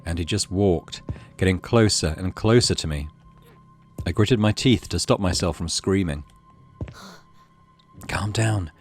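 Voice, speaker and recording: A man's voice: deep voice, normal speed, faint music behind. A man giving a theatrical, suspenseful reading of a horror visual novel. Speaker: gaming channel host reading aloud. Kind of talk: dramatic scripted narration of a horror visual novel.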